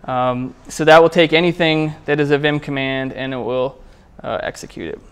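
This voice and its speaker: A man presenting at a programming meetup in a casual, conversational, somewhat halting way as he walks through a live demo. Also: mid-range voice, clear, resonant tone. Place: indoor meetup room, speaking before a small group.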